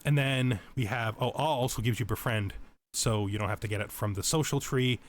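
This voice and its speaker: A man's voice: medium pitch, a little fast. A man giving unscripted tutorial commentary over a video game, explaining things step by step and often repeating or rephrasing as he goes. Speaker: tutorial let's play host.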